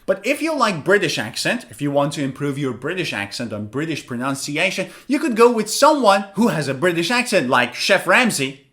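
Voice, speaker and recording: Lively high-voiced man teaching pronunciation. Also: moderate pace. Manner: animated, questions then answers himself. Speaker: English teacher. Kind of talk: pronunciation lesson.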